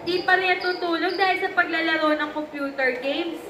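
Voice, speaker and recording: A woman's voice: extremely high pitch, fairly quick, bright, forceful tone. A young woman narrating a puppet show for children, reading a scripted story. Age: young adult. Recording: echoey room with background noise.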